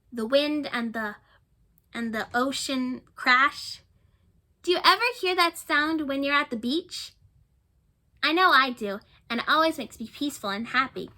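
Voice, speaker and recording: Woman storyteller for kids, high and bright, chatty asides, asks listeners questions. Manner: chatty, warm asides to young listeners, often repeating herself. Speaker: storyteller reading a picture book aloud. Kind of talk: children's read-aloud with questions to listeners.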